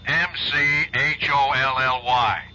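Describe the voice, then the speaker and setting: An actor playing an American bureau chief on the telephone in a 1970s radio drama, plain-spoken and apologetic. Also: male voice, mid-range voice, steady tone, takes it slowly, pushed and bright. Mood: helpful. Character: gruff but kindly American male bureau chief. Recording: dull old radio broadcast.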